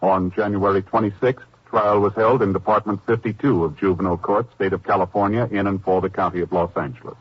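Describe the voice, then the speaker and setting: A man with an American accent reading formal, measured scripted announcements in the style of 1950s radio. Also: deep voice, steady tone, slightly rough. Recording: dull old radio broadcast recording. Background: music.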